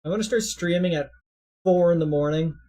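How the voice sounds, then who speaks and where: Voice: medium pitch, fairly quick, dark tone. A game streamer talking in a casual, chatty way while playing. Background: game music.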